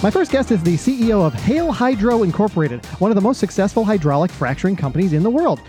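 A man's voice: high-pitched, very animated, fast, music in the background. Upbeat man hosting a podcast. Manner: earnest, upbeat, eager interviewer. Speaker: podcast host. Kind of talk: improvised satire podcast hosting. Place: podcast studio.

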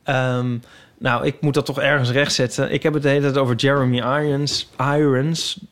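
A man with a Netherlandic Dutch accent hosting a podcast in casual, humorous banter, animated and playfully mock-indignant. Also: mid-range voice, moderate pace. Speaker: podcast host.

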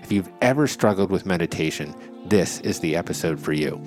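A middle-aged man hosting a podcast interview, reading a scripted intro and then asking measured, earnest questions. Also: deep voice, expressive, a little mellow, faint music behind. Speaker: podcast host.

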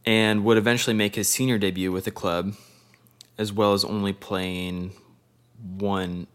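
A man co-hosting a casual sports podcast, reading out player stats with a loose, jokey manner and halting restarts. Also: fairly low pitch, flat delivery, a bit bright, smooth. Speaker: podcast co-host.

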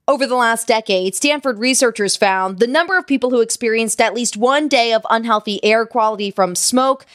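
A female correspondent reads a scripted report in an even, measured news cadence. Her voice sits a little high and sounds close and dry, with no room around it. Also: no room sound.

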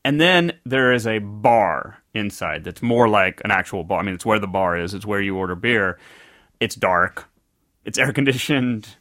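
A man's voice: on the low side, expressive, normal speed, pushed and bright, no room sound, clean studio sound. A man from the American South, co-hosting a casual podcast and telling a rambling story that often circles back to correct itself. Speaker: podcast co-host. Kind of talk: casual conversational podcast chat.

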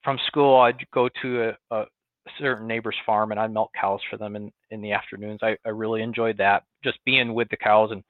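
A young man from Western New York with its accent, a cattle farmer telling his story as a guest, relaxed, with many fillers and restarts. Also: on the low side, fairly quick, dry recording. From Western New York, near Buffalo. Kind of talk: conversational interview. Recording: tinny phone-like line, dry.

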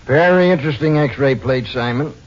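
An actor playing a grudging, skeptical city medical examiner in an old-time radio drama, questioning and matter-of-fact. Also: male voice, medium pitch, normal speed, slight background noise, natural voice. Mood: reluctant, matter-of-fact. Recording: dull, muffled old radio recording.

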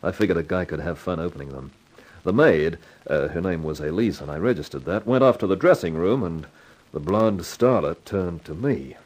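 A hardboiled, wisecracking hero in a 1950s radio mystery. His voice is low and husky, with lively rises and falls and a wry, flip edge. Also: moderate pace, low-quality recording. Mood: wry, pleased. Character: wisecracking advertising man narrating a crime story. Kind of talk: 1950s radio acting and narration.

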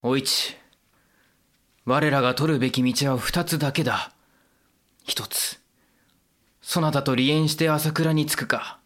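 A man's voice: mid-range voice, steady tone, unhurried, pauses often, fairly bright tone, natural voice. A man playing an earnest, troubled young samurai lord in a Japanese period audio drama, with courteous, formal warrior speech. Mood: grave, hushed.

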